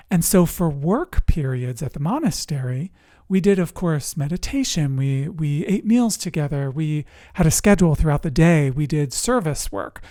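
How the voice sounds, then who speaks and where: A middle-aged coach telling personal stories to camera in a conversational, expressive and deliberate way, with dramatic pauses and repetition. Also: mid-range voice, no room sound, studio quality. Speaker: emotional resilience coach and show host.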